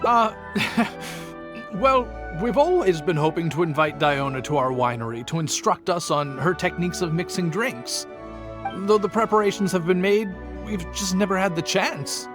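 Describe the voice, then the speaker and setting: A man's voice: fairly high pitch, moderate pace, music in the background, natural voice. A man playing an earnest, polite young bartender in a video game, modest and a little hesitant. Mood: eager, polite. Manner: polite, slightly hesitant, thoughtful. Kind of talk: English video game voice acting.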